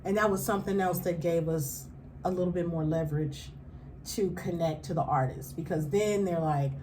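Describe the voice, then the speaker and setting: A woman's voice: lowish voice, normal speed, mellow, slight background noise. A woman from Kansas City with a Kansas City accent, a radio show founder speaking as a guest in a casual interview, affirming and laughing often.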